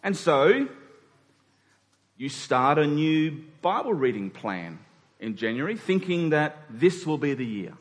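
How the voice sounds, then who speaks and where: A man's voice: fairly high pitch, very animated, takes it slowly. A man in his forties preaching a sermon, speaking rhetorically with emphatic points and dropped asides. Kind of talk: sermon to a congregation. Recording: long hall reverb.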